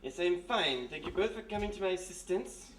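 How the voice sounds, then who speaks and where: A woman's voice: on the low side, animated, brisk, lightly acted. A woman playing a polite, deferential male French waiter with a French accent in a radio play. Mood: flustered, polite. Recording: echoey live room, distant mic, faint noise.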